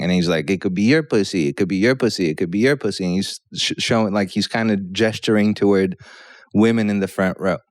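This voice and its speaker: A man who grew up in Poland, with Polish as his first language, talks through a long story in an easy, meandering way and checks in with "yeah?" along the way. His voice sits low and comes across clean and resonant. The recording is dry and close. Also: no echo. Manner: rambling, frequent "yeah?" check-ins. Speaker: guest comedian. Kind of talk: casual podcast storytelling.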